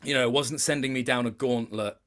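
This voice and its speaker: Guy being interviewed, casual chat, medium pitch, kinda hesitant and thoughtful. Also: fairly even intonation, a little fast, a bit bright. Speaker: interview guest, video game voice actor.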